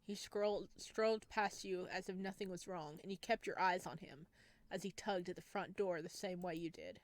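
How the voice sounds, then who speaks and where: A woman's voice: on the low side, steady tone, moderate pace, dry recording. Woman reading a horror game aloud. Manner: second-person narration with asides to viewers. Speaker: gamer reading a visual novel.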